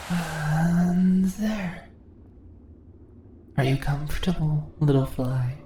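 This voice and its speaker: A woman's voice: low voice, vocal fry, mellow, heavily acted. A woman playing a seductive, dominant spider-woman monster in a fantasy ASMR roleplay, teasing her captured prey in a purring voice that often drops into whispers. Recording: roomy echo, faint background noise.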